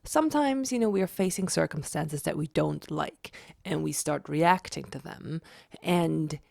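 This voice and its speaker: Raspy woman with a slight cold. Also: low voice, unhurried, darkish tone, dry recording, studio quality. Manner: chatty, repeats herself with restarts. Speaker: manifestation vlogger. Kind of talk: casual explanatory vlog.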